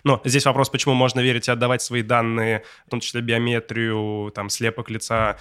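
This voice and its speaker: A man with a mid-pitched voice speaks as a podcast co-host. His delivery is conversational and informal, as in a discussion among colleagues. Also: level tone, fairly quick, a bit bright, clear, resonant tone. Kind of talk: casual discussion.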